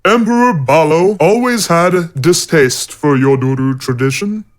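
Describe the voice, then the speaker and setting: An actor playing a middle-aged warrior-monk villain in a fantasy audio drama, calm, persuasive and condescending as he preaches. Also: on the high side, unhurried. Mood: smooth, persuasive.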